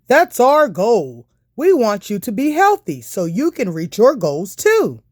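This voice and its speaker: A kindly, reassuring male doctor from a children's storybook, read by a woman. He explains things patiently and slowly, with animated intonation and a dry, close sound. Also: on the high side, no room sound, voice pushed up, full character voice. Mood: encouraging.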